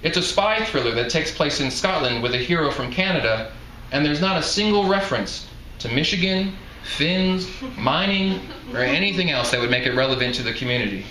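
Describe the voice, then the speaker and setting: A man's voice: fairly high pitch, expressive, fairly quick, few pauses, sharp tone. Man reading as chatty old woman bartender, echoey. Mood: folksy, confiding. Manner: folksy, chatty. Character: chatty, blunt elderly woman bartender, retired. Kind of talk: novel reading aloud. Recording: echoey room, faint background noise.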